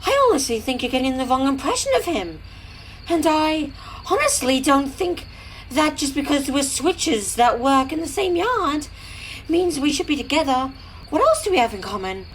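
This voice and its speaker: A woman's voice: sits high, very animated, moderate pace, slight background noise, poor audio. A woman playing a sensible, frank female locomotive with a firm, reproving manner in an audio drama. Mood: frank, earnest. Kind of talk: fan audio drama acting.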